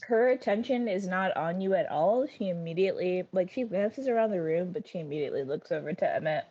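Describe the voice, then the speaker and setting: A woman runs a tabletop game, describing the scene with a playful, teasing edge and casual swearing. Her voice sits low and mellow. The audio is dull and lo-fi, like a home stream. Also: lively intonation, brisk. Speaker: game master.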